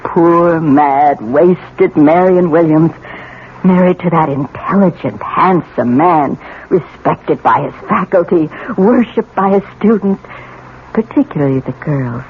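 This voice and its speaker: An actress playing a bitter, lonely middle-aged wife and mother in a theatrical, halting 1970s radio drama style. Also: on the low side. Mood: anguished, brooding. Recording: tinny, noisy old radio broadcast.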